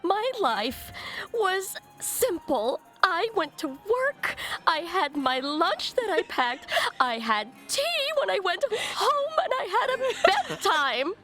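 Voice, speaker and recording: Tabletop game role: timid, tearful librarian woman played by a woman, raised pitch, halting delivery, music underneath. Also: sing-song, pushed and bright, heavily acted. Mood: fearful, tearful. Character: frightened, sheltered librarian woman in a fantasy world.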